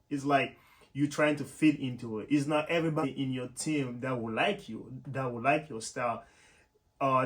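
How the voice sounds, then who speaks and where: Man, casual vlogger. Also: mid-range voice. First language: French. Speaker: YouTube host and software developer. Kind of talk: casual explanatory vlog talk to viewers.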